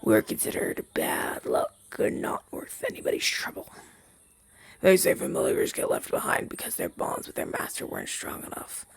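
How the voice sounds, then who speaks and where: A man voicing a gentle, wistful demon familiar in an ASMR roleplay, soft-spoken and hesitant, in a breathy, husky half-whisper. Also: sits high, huge pitch swings, moderate pace, no echo, exaggerated voice. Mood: resigned. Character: gentle, wistful male rogue demon familiar left masterless. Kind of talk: ASMR roleplay audio drama, softly spoken to listener.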